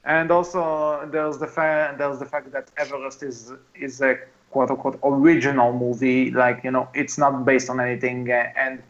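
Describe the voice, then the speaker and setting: A man co-hosting a casual movie podcast, talking emphatically and haltingly, often restarting and repeating his phrases. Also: medium pitch, little pitch movement, muffled recording. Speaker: podcast co-host. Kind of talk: casual movie discussion with friends.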